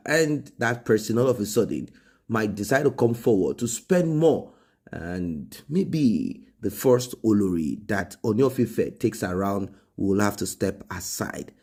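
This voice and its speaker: A man's voice: on the low side, animated, a little slow, mellow, husky. A man with a Nigerian accent hosting an informal gossip commentary, explaining conversationally and repeating phrases for emphasis. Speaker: gossip show host.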